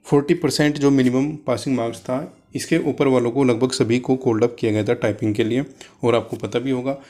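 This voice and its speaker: A man speaks as a coaching presenter at a middle pitch. He explains things informally, one step after another, addressing students directly and dropping English terms into his sentences. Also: dark tone.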